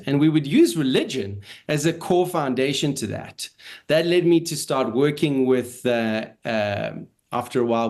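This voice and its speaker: Guy w an israeli-south african accent, thoughtful guest on a podcast, laughs a lot, regular pitch. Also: a little mellow. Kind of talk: interview answers.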